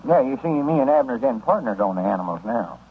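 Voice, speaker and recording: An actor playing a folksy rural storekeeper with an Arkansas country drawl in a 1930s radio comedy. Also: male voice, medium pitch, very animated, quick pace, husky. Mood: resigned, rueful. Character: folksy rural storekeeper, reluctant partner in his friend's schemes. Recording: muffled, noisy 1930s radio recording.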